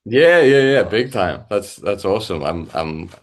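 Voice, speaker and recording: A man's voice: lowish voice, expressive. A young Irish man, a musician guest, chatting casually in an interview with lots of fillers and restarts. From Ireland. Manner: halting, many fillers and restarts.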